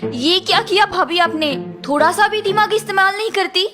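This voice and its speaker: A woman voicing a spoiled, sharp-tongued college-age sister-in-law who complains in a cartoon story. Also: extremely high pitch, moderate pace. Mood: annoyed, accusing. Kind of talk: Hindi cartoon story acting. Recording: music bed behind the voice.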